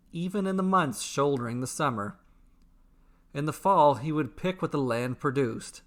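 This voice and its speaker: A man narrates a fantasy story from a script. His mid-pitched voice has a bright, forceful edge. He phrases deliberately and dramatically, in short measured units. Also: a little hiss or hum.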